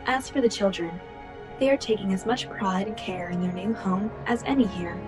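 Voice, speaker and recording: Music plays behind a woman acting a composed, proud female colony officer. She records a formal log for a sci-fi radio drama in a measured, mid-pitched voice. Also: close to an everyday voice.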